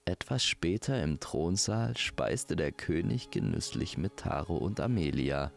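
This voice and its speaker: Deep, slow language male storyteller. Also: expressive, creaky. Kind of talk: scripted fairy-tale audio drama narration.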